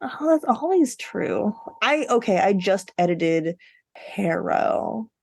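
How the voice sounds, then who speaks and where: A woman's voice: medium pitch, big pitch swings, slow pace, gravelly, darkish tone, breathy edge, dry recording. Woman, mid-range voice, podcast co-host, casual chat, self-mocking humor, very dry sound. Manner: wry, self-mocking, jokey.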